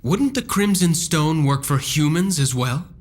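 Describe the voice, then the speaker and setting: Earnest, higher-pitched young game hero. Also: male voice, animated, a little slow. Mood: questioning. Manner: earnest, dramatic. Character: earnest young male hero, a vampire hunter. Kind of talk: video game cutscene acting.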